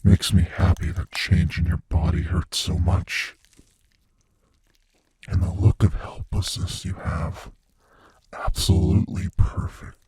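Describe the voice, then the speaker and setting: A man playing a gleefully cruel monster from under the bed in an intimate ASMR audio roleplay, taunting and menacing in a breathy, whispery voice. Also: sits low, a little mellow, exaggerated voice. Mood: gloating, cruel amusement. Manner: slow, taunting, menacing, savoring words.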